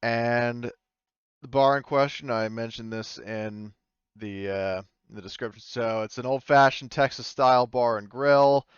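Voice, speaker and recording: A man narrating casually and haltingly as game master, improvising in an informal, easygoing way. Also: mid-range voice, clean tone, no echo, dull audio.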